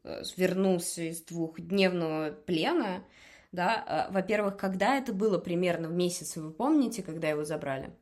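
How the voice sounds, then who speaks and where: A woman's voice: lowish voice, moderate pace, darkish tone. A woman interviewer asking careful follow-up questions and checking that she has understood correctly.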